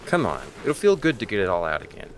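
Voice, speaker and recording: A skeptical, easygoing boyfriend from an audio drama, played by a man, teases and coaxes wryly. His fairly low voice swings freely in pitch, with faint noise behind it. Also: huge pitch swings, fast. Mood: teasing, coaxing. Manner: wry, coaxing, dismissive. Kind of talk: audio drama acting, naturalistic dialogue.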